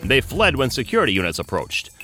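Man acting a bright, brisk military officer. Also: medium pitch, wildly swooping pitch, faint music behind. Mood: brisk, official. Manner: official, by-the-book military reporting. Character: brisk, dutiful male Air Force captain. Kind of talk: comedic audio drama acting.

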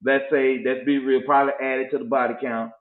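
A man's voice: medium pitch, flat delivery, fairly quick. A man in his forties talking casually about dating, emphatic and preachy. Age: 40s. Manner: preachy, emphatic, slips into mock voices to make a point. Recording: echoey, tinny phone-like sound.

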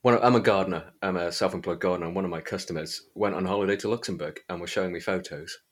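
A man's voice: deep voice, rapid-fire. A man hosting a podcast in a casual, chatty style. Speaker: podcast host. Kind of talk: casual chat.

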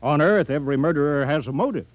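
An actor in a 1950s radio comedy playing a folksy, pushy mayor of a small space colony, earnest and cajoling. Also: male voice, fairly high pitch, expressive, fast, no room sound. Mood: urgent, cajoling.

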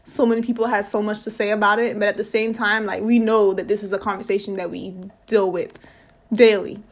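A woman's voice: mid-range voice, little pitch movement, fairly quick. An American woman hosting a casual pop culture talk, speaking in an opinionated, blunt and slangy way. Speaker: talk show or podcast host.

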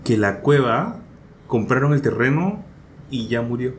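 A man's voice: mid-range voice, lively intonation, measured pace. Peruvian man, chatty podcast co-host. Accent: Peruvian Spanish. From Peru. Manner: reads listener messages aloud, chatty. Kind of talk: casual chat. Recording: echoey room with faint noise.

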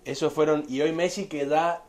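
A man's voice: a little high, steady tone, normal speed. Argentine man in his thirties, winery rep, enthusiastic, interview chat. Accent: Argentine Spanish. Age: 30s. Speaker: winery representative.

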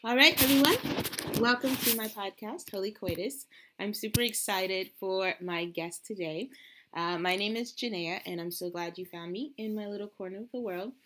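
Woman, podcast host, casual chat, enthusiastic, informal delivery, mid-range pitch. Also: moderate pace, background noise. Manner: informal, enthusiastic, frequent y'all.

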